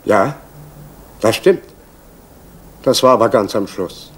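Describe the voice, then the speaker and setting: A man's voice: lowish voice, slow pace, husky. An actor playing a nervous, apologetic male postal clerk giving a statement in a radio crime drama. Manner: hesitant, apologetic.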